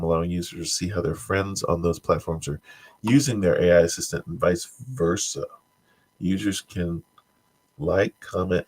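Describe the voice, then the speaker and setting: A man's voice: low voice, low-quality recording. A man who says he is congested, hosting a stream where he reads tech news aloud and adds dry, wry asides. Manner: dry, wry asides between stumbling read-outs. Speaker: stream host. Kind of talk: reading tech news aloud with offhand commentary.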